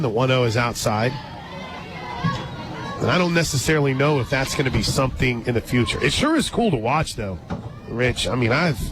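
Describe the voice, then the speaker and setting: A man doing live radio play-by-play of softball, chatty and informal, drifting into conversational asides between pitch calls. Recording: crowd noise and loud music behind. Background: ballpark crowd, music, outdoor stadium ambience.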